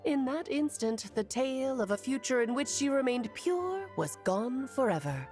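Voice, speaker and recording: A woman voicing an ageless, cheerful and wise witch who speaks in a lilting, gently teasing way. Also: high-pitched, very animated, normal speed, soft background music. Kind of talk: video game voice acting.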